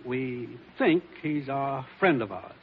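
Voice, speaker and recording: An actor playing a grave, businesslike commissioner in a 1940s radio drama, speaking with an American accent in a measured, authoritative way. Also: male voice, mid-range voice, lively intonation, long reverb tail, a little hiss or hum. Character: grave, businesslike male commissioner briefing his agent. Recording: muffled 1940s radio recording.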